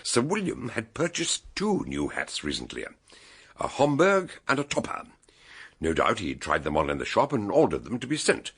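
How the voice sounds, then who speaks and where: Gravelly, swooping old British detective. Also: male voice, a bit bright, heavily acted. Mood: accusing, triumphant. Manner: booming, declamatory, relishes dramatic revelations. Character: commanding, theatrical gentleman detective, older, shrewd and teasing. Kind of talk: British radio drama acting.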